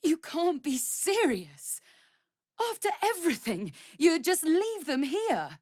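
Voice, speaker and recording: A woman's voice: high-pitched, wildly swooping pitch, slow, a little mellow, no room sound, clean studio sound, heavily acted. A woman playing an anxious, devoted vampire spawn in a fantasy video game, speaking formally and earnestly. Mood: hurt, shocked. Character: anxious, devoted female vampire spawn serving her master.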